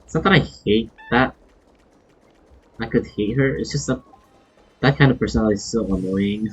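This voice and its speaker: Husky Filipino man streaming a game. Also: lowish voice, moderate pace. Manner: chatty, reactive, code-switching. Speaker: game livestreamer. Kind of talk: casual live gameplay commentary. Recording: dull lo-fi stream audio. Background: game music.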